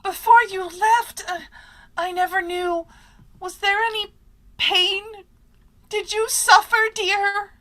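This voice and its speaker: A woman's voice: extremely high pitch, steady tone, slow, long pauses, sharp tone, exaggerated voice. A woman playing a gullible, yearning widow in old-time radio style, pleading and overwrought. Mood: tender, tearful.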